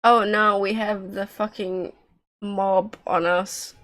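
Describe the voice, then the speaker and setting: A woman giving casual, expressive live commentary as she streams a game, reacting aloud with slangy, sweary talk. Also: medium pitch, slow pace.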